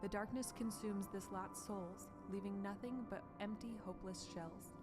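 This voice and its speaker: A woman playing a grave, luminous female spirit in a fantasy audio drama, speaking in formal, measured proclamations. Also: mid-range voice, steady tone, over loud music, lightly acted. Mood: solemn, consoling. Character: solemn luminous female spirit offering hope.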